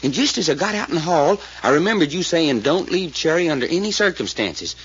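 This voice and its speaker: An actor playing a folksy, excitable Texan detective sidekick in a 1940s radio serial. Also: male voice, fairly high pitch, a little fast, husky, full character voice. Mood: earnest recounting. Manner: folksy, ungrammatical drawl. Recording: dull old radio serial recording.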